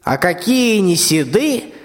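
Old tsar played by a man: high-pitched, imperious, folksy verse, long hall reverb. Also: big pitch swings, takes it slowly, full character voice. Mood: bossy. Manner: commanding, folksy rhymed speech. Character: vain, bossy, balding very old tsar. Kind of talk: stage-like rhymed radio play.

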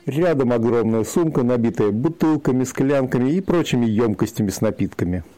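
A man's voice: mid-range voice, lively intonation, brisk, warm tone, poor audio. A man narrating an audio play, reading stage directions.

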